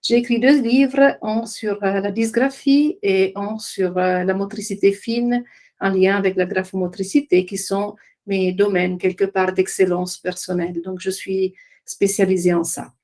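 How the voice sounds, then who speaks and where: Middle-aged lady w/ presenting her work, medium pitch, kinda hesitant, hunting for words. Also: fairly even intonation, measured pace, darkish tone. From Italian-speaking Switzerland. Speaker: occupational therapist, trainer and business founder presenting. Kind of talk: informal web conference presentation.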